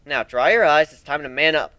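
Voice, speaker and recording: A man giving an expressive, theatrical first-person narration as an amateur dramatic story reader. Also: on the high side, fairly quick, no room sound.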